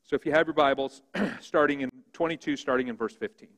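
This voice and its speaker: A man preaching a sermon as pastor, with a big voice that swings from loud emphasis to quiet, hushed reading. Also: on the high side, brisk, long reverb tail, rough recording. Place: church sanctuary.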